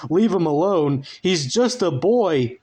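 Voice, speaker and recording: A man's voice: a little high, expressive, takes it slowly, a little mellow, husky, pitched above the performer's own voice, heavily acted. A man voicing an overwrought, nosy neighbor woman who pleads emotively, in a storybook reading. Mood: frantic pleading.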